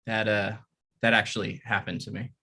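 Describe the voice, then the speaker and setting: Bright-voiced man, hesitant and stammering. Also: fairly low pitch, little pitch movement, moderate pace, creaky. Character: awkward struggling male sitcom writer. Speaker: writer and actor in a table read. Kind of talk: comedy table read.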